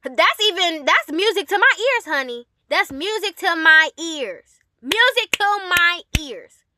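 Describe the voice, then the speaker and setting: A woman telling a personal story casually to her audience, with an animated, emphatic and sassy manner. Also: very high-pitched, a little slow. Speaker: storyteller and host addressing an audience.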